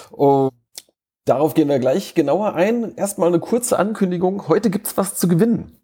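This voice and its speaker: Chatty man with a dark, husky voice. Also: high-pitched, unhurried. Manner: chatty, self-interrupting, joking. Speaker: podcast host. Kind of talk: casual fan podcast chat. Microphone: remote call.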